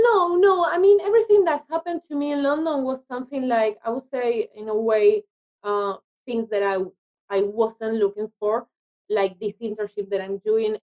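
Argentine actress as interview guest, native Spanish speaker, higher female voice, halting conversational English. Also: darkish tone, rough recording. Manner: hesitant non-native English, repeats words.

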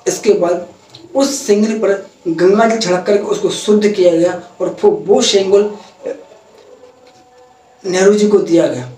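A young man with a high, mellow voice talks through a news story to the camera. His delivery is earnest and steady, and he sometimes restarts a phrase. He sounds a bit far from the mic, in an echoey room with faint background noise. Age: young adult. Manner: earnest, steady explanatory delivery with occasional self-corrections. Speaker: news presenter. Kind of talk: opinionated news explainer to camera. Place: indoor room, parliament backdrop added behind. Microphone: camera a few feet away, no mic in view.

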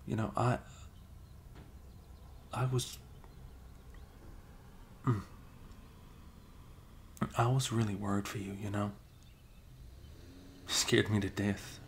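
Close-mic ASMR roleplay: a low-voiced man, unhurried and hesitant, drops into whispers. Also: slight background noise. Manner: soft-spoken, drifting into whispers, hesitant. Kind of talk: improvised ASMR roleplay as a best friend. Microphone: close microphone.

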